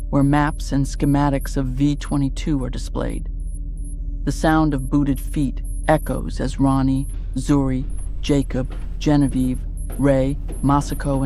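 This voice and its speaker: A man's voice: medium pitch, warm tone, slight background noise. A man giving dramatic scripted narration for a horror audio drama.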